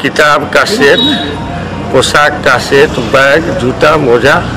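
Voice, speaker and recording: A man's voice: mid-range voice, slow pace, a crowd in the background, rough recording. A man with an eastern Indian accent giving an interview statement as an education official.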